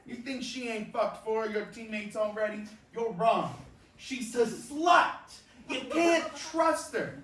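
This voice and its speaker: A man's voice: sits high, expressive, takes it slowly, a bit bright, heavily acted. A man on stage playing a cocky, crude veteran baseball star who brashly and slyly schools a rookie in slangy tough-guy talk. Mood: brash, mocking. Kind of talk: staged reading of a play, theatrical dialogue. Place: indoor performance space, recorded from afar. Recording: roomy distant stage recording, background noise.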